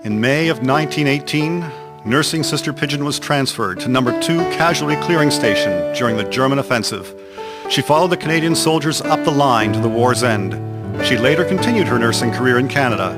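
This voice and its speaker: A man's voice: mid-range voice, expressive, brisk. A man narrating a historical radio drama from a script in a solemn, formal storytelling manner. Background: music.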